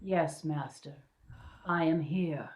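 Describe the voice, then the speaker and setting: An actress in a radio play voicing an entranced undead vampire woman, hushed, eerie and drawn-out. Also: low-pitched.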